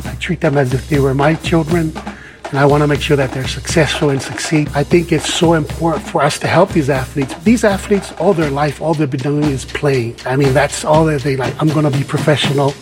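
A man's voice: fairly high pitch, brisk. A man from Samoa who works as a career advisor talks about his work in an earnest, avuncular way. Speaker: career advisor for college athletes. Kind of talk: interview-style personal talk. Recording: music under the voice. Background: drum-heavy music.

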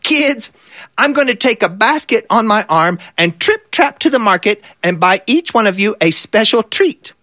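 A man voicing a caring mother goat with a high, sing-song, motherly way of speaking. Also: very animated, moderate pace, bright, forceful tone, no room sound, studio quality, heavily acted.

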